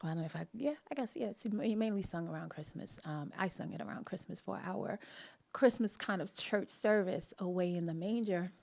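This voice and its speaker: A woman's voice: on the low side, a little fast, creaky, a little mellow. A woman with a Jamaican accent hosting a live online talk, telling a personal story in a chatty, earnest way and often laughing between phrases. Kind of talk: personal storytelling, motivational chat.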